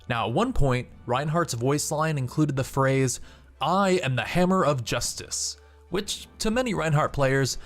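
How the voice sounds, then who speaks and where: A young man hosting a gaming channel, reading scripted video narration. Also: mid-range voice. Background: background music.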